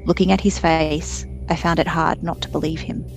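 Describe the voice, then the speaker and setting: A woman's voice: deep voice, steady tone, normal speed, gravelly, faint music behind, poor audio. A woman reading aloud expressively from a psychological thriller novel, narration and dialogue.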